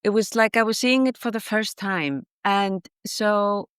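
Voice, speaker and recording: A woman's voice: medium pitch, a little slow, smooth, dry recording, clean studio sound. A woman from Iceland whose first language is Icelandic, a guest author explaining ideas in a reflective, thoughtful way during a podcast interview.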